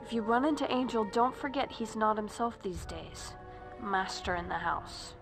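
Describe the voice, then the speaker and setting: A woman's voice: mid-range voice, expressive, moderate pace, creaky, music in the background. An actress playing a perky, earnest, nerdy teenage girl in a video game, chirpy and a little awkward. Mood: gently concerned.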